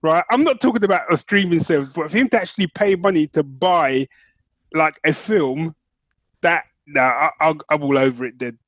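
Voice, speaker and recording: A man co-hosting a podcast, chatting casually in an animated, slangy way. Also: fairly high pitch, normal speed, dry recording. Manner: animated, informal, slangy, swears freely. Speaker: podcast co-host. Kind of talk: casual chat.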